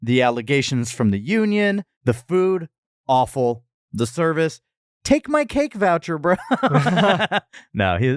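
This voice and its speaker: A man's voice: fairly high pitch, clear, resonant tone, no room sound, studio quality. A man co-hosting a podcast in casual, playful, jokey review banter. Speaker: podcast co-host.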